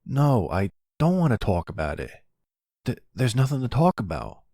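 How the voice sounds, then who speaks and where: Man acting a halting, boyish, sensitive young son. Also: sing-song, gravelly, dark tone, no room sound, clean studio sound. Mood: hurt, defensive. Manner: halting, stammering, higher and more boyish. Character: sensitive, defensive young college-age son, playful with his mother. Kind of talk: ASMR audio roleplay acting.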